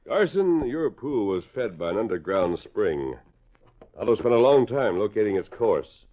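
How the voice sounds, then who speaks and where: A man's voice: fairly low pitch, very animated, normal speed. A man playing a stern, resolute masked hero, commanding and declamatory, in a 1940s western radio drama. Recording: tinny, noisy 1940s radio recording.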